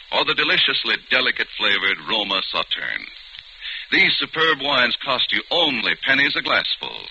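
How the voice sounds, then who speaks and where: A man's voice: mid-range voice, sharp tone, slightly rough. A man announcing a scripted 1940s radio commercial with a polished, emphatic salesman's delivery. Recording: dull 1940s radio broadcast.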